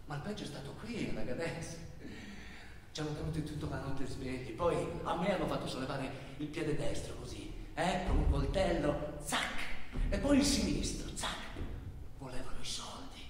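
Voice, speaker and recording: Echoey distant stage recording, male actor doing intense traveller guy telling of hardship, halting, repeats himself, voice swings up and down a lot. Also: a little high, expressive, a little hiss or hum, close to an everyday voice. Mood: halting, sombre. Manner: halting, repetitive delivery, swinging between shouts and murmurs. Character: intense male traveller-narrator recounting stranded migrants' hardships. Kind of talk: dramatic theatrical monologue in Italian. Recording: very echoey hall, distant microphone.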